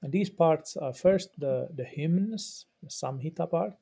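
A man's voice: on the high side, unhurried, gravelly, dark tone, breathy edge. A middle-aged man from Finland whose first language is Swedish, a religious studies professor explaining patiently in a podcast interview, often repeating words as he thinks. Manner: thoughtful and explanatory, with frequent repeats and fillers.

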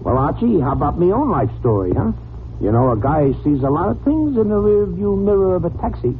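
A dopey, good-natured cab driver in a 1940s radio drama. His slangy, fairly high voice swings up and down eagerly. The recording is narrow and hissy. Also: big pitch swings. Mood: earnest, proud. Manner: slangy, ungrammatical, slow-witted. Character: dim, cheerful male cab driver sidekick. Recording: scratchy, narrow-band old radio.

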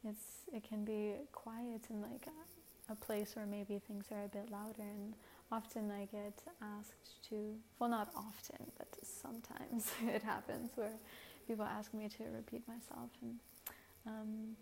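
A woman with a very soft voice giving a gentle, reflective spiritual talk as a host, often pausing with fillers. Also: mid-range voice, flat delivery, a little hiss or hum. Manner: gentle, hesitant, many fillers.

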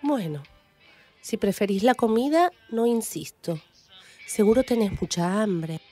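A woman's voice: mid-range voice, lively intonation, a little slow, dark tone, faint music behind. A woman with an Argentine accent playing a coy, flirtatious, enticing neighbour in a radio play. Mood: hurt, teasing.

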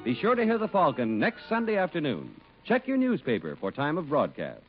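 A man's voice: a little high, huge pitch swings, brisk, over loud music. An American man announcing from a script on 1950s radio in a polished, emphatic style. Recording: muffled old radio recording.

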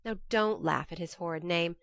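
Woman as a lively young letter writer, slightly low voice, frank, affectionate, expressive audiobook reading, dry close sound. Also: normal speed, creaky, dry recording. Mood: cheerful, chatty. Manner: expressive, chatty, confiding.

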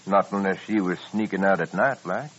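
Husky, sour frontier father. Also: deep voice, a little fast. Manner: folksy, complaining. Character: sour, self-pitying father of a poor frontier family. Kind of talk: 1950s radio western acting. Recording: dull old radio recording.

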